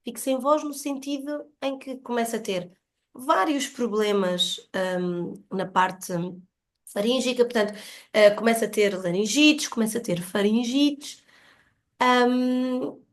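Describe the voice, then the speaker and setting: Female podcast guest, European Portuguese accent, fairly high pitch, earnest explanatory delivery in reflective conversation. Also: measured pace.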